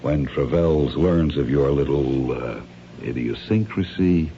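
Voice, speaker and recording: An actor playing a cold, controlling blackmailer in an old radio drama, speaking formally and in measured commands. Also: male voice, a bass voice, gravelly, mellow, heavily acted. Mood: coolly instructing. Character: cold, controlling male blackmailer giving instructions. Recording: dull, noisy old radio recording.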